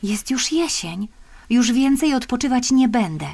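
A woman's voice: a little high, expressive, measured pace, gravelly, a little mellow, pitched above the performer's own voice, full character voice. A woman voicing an earnest, sweet little girl in a children's audiobook, speaking eagerly and plaintively. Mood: worried, resolute. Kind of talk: children's audiobook character acting.

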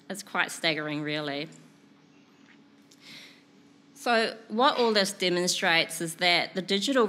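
A woman in her thirties with a New Zealand accent, a PR consultant giving a prepared presentation at a conference, speaking clearly and steadily from notes into a lectern microphone. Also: on the low side, sharp tone. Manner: clear, measured delivery from notes. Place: conference room, indoors.

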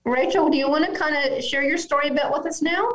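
Woman in her 50s, doctor explaining calmly over a video call, slightly high voice, kinda roomy echo. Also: quick pace. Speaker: physician and missionary guest. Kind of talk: remote podcast interview. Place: office at home, indoors. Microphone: video call webcam. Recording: echoey room on video call.

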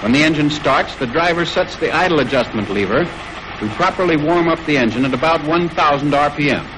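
A man with a fairly high-pitched American voice narrates a 1940s military training film. His delivery is formal and measured, like a scripted instruction. The old soundtrack is somewhat noisy.

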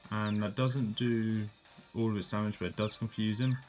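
Low-voiced man narrating a game battle. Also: moderate pace, faint music behind. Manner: relaxed, plain narration with fillers and self-corrections. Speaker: gameplay commentator. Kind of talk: casual play-by-play of a game battle.

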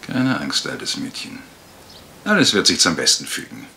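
A man's voice: low-pitched, wildly swooping pitch, normal speed, gravelly, sharp tone, slight background noise, full character voice. A man playing a flippant, charming rogue in a radio crime drama, teasing, nonchalant and evasive.

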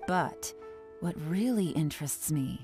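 An actress playing a cool, composed young woman investigator in a video game, measured and self-assured. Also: fairly low pitch, lively intonation, warm tone, faint music behind. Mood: analytical.

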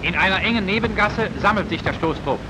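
A man's voice: high voice, quick pace, very noisy. A man narrating a 1940s wartime propaganda newsreel in a formal, declamatory manner, on an old film soundtrack. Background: music.

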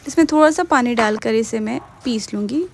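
A young woman talks through her home cooking in a relaxed, chatty voice-over. Her voice sits a little high, and she slips English words in as she goes. A faint background hiss sits under her voice. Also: a little fast. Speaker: cooking vlogger. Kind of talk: casual voice-over narration of cooking.

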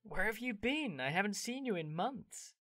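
A woman's voice: medium pitch, lively intonation, moderate pace, fairly bright tone, dry recording, clean studio sound. A woman playing a cheerful, teasing young man in an audio drama, speaking in a boyish, wheedling manner. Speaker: voice actor in an amateur audio drama. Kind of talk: theatrical audio drama acting.

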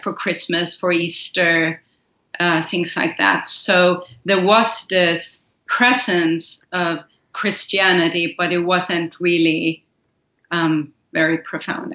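A woman in her forties with a Swedish-accented English voice, lowish and bright. She speaks thoughtfully, pausing with fillers as she explains. Her earphone mic picks her up in a roomy space, with dull, slightly muffled call audio. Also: little pitch movement. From Estonia, raised in Sweden. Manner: thoughtful, many fillers and restarts. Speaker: author guest. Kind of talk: casual interview over video call. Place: room at home. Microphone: earphone headset mic on video call.

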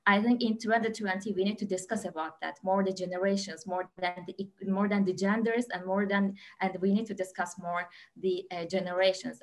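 Turkish accented woman on a video call, lots of uh and restarts, mid pitch. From Turkey. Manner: hesitant, many fillers and restarts. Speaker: panelist, port captain. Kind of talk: online panel discussion. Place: home room with lamp.

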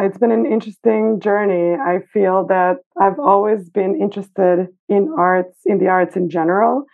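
Woman over a tinny phone-like line. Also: mid-range voice. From Serbia. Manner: thoughtful, hesitant with fillers. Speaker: guest costume designer. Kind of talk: casual interview. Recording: phone-like remote call.

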